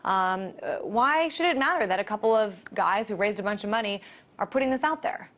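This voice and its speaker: Questioning American woman, mid-pitched news interviewer. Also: expressive, fairly bright tone. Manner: questioning, measured, hedging.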